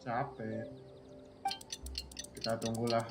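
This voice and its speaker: A man with an Indonesian accent commentates live on a game in a casual, slangy way. His mid-pitched voice has a noticeable room echo, and loud game music plays behind him. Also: flat delivery, slow pace. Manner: informal, slangy. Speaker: livestreaming gamer.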